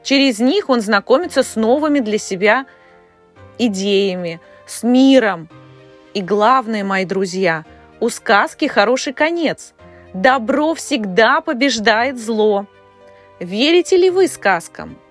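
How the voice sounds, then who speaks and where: Expressive woman in her forties, higher voice. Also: slow, faint music behind. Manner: expressive, lingering on key words. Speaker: podcast host, children's author. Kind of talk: friendly direct address to listeners.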